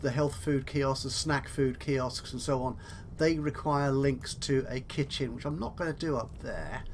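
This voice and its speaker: A man's voice: a little high, a little hiss or hum. A man giving casual let's play commentary, chatty and thinking aloud as he goes. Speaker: gaming video host.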